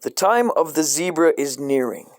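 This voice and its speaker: A man reading a dark comic coloring book aloud in a deadpan way, breaking off into profane asides. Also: on the high side, moderate pace, no room sound, studio quality.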